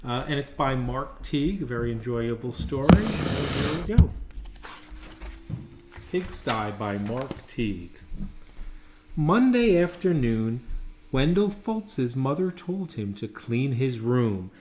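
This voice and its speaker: A man reading a children's picture book aloud in a friendly, informal way with deliberate phrasing. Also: mid-range voice, sing-song, a little mellow. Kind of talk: read-aloud storytelling with casual asides. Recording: echoey room with faint background noise.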